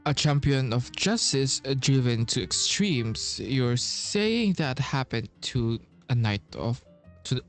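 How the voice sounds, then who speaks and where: Slow, animated male game streamer. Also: mid-range voice. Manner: halting, thinking aloud. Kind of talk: casual playthrough commentary. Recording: game music behind voice. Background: video game music.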